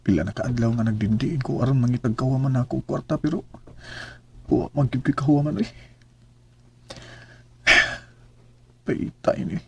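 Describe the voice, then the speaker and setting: A man's voice: mid-range voice, fairly even intonation, quick pace, creaky, dark tone, a little hiss or hum, natural voice. A man with a Cebuano accent voicing a struggling husband and father in a radio drama, earnest and keen to please his wife. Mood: despairing. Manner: earnest, says "day" often. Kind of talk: amateur radio drama acting.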